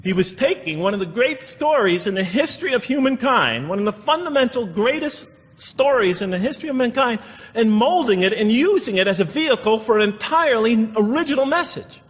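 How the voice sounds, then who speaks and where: A man's voice: very high-pitched, lively intonation, brisk, breathy edge. A man giving a public lecture explaining scripture, emphatic and repeating phrases for stress, in a large hall. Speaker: religious lecturer. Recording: echoey hall with long reverb.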